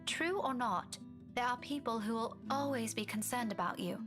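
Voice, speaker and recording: A woman's voice: a little high, normal speed, music in the background, natural voice. A woman playing a stern, motherly young woman in a visual novel, earnestly scolding a friend she worries about.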